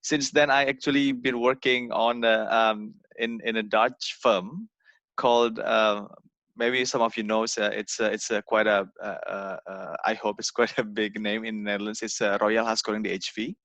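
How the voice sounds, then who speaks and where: A young man from Indonesia, giving an informal talk over a video call, halting with many fillers and repeated words. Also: lowish voice, steady tone, fairly bright tone, no room sound, muffled recording. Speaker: guest speaker. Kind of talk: informal online presentation.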